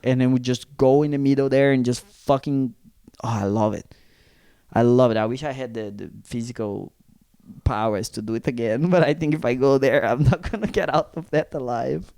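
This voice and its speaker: A young man from Brazil co-hosting a comedy podcast, full of animated storytelling and casual swearing. His voice is mellow and dark, recorded close and dry with no room sound. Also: medium pitch, normal speed, smooth, no room sound. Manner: animated, swearing, acts out quotes. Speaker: podcast co-host.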